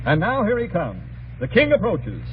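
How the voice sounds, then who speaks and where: Male radio announcer, animated pitch, grandiose courtly phrasing, 1940s variety banter, muffled old recording. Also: normal speed, a little hiss or hum. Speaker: radio show host and announcer.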